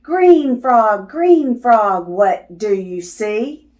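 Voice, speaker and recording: A woman's voice: fairly high pitch, big pitch swings, drawn-out words, darkish tone. A woman reading a picture book aloud to young children in a sing-song, teacherly way, as the host of children's videos. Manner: teacherly, asking questions and affirming answers.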